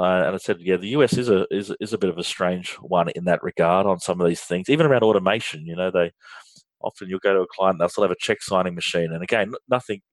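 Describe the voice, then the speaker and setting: A man's voice: deep voice, no echo, rough recording. An Australian man, a guest in a business interview, explaining with frequent ums and restarts. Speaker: guest, finance outsourcing firm leader.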